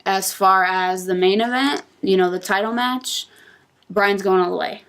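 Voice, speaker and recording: Casual chat with a woman co-host sitting on a couch at home. she talks in eager, excitable bursts and sometimes tumbles over her own words. her voice sits in a middling pitch. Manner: excitable, gushing, fast bursts. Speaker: podcast co-host. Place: indoors on a couch.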